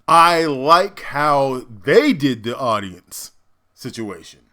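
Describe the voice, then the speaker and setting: A man, an actor, giving casual reaction commentary and explaining stagecraft in an emphatic way, often halting as he thinks aloud. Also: medium pitch, animated, sharp tone.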